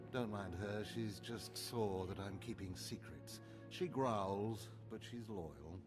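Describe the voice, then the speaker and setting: An actor playing a stern, scheming older male mentor in a video game, grave, deliberate and commanding. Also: lowish voice, over music. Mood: wry authority. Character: stern, scheming older male Sith overseer and father, controlled.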